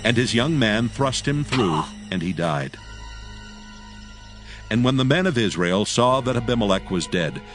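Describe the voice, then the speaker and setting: A man narrating scripture from a script, in a solemn, measured storytelling manner. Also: fairly low pitch.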